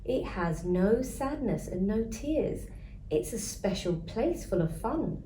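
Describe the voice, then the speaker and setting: A woman voicing a kindly, reassuring grandmother in a children's storybook, with a gentle storytelling manner. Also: medium pitch, big pitch swings, slow, pitched above the performer's own voice. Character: kindly, reassuring grandmother telling twins about paradise. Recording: roomy echo, faint background noise.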